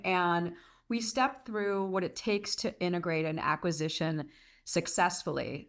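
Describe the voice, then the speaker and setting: A woman hosting a business livestream in a conversational interview style. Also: on the low side.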